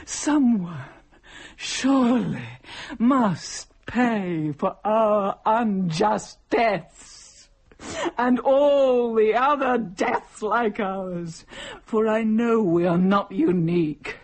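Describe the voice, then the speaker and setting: An actress playing a starving, embittered village widow and mother near death in an audio drama, speaking mournfully and solemnly with a husky voice. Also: medium pitch, animated, very slow, darkish tone, exaggerated voice. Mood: bitter accusation.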